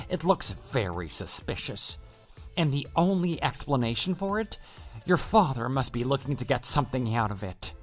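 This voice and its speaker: A man's voice: fairly high pitch, natural voice. Radio drama suitor: actor as lovesick, deluded young man, insistent and pleading, music bed. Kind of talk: scripted radio drama dialogue.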